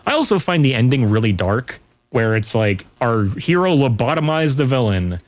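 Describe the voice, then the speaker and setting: A man's voice: mid-range voice, very animated, normal speed. Casual guy chatting as podcast guest. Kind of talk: casual pop culture chat.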